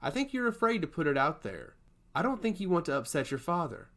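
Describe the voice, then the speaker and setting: A man's voice: on the high side, very animated, a little fast, fairly bright tone, voice pushed up. A man playing a wry, insinuating god of chaos in a fantasy audiobook. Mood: probing. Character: suave, probing male god of chaos.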